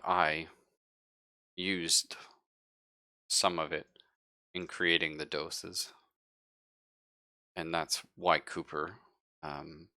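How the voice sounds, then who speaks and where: A man playing a hesitant scientist in a tabletop sci-fi horror roleplay, explaining haltingly with stammers and evasions. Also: low voice, pushed and bright, no echo, clean studio sound, full character voice. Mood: uneasy, explaining. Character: evasive, halting male scientist, recently woken from cryosleep. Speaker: role-player in a sci-fi horror game. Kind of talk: improvised in-character tabletop roleplay.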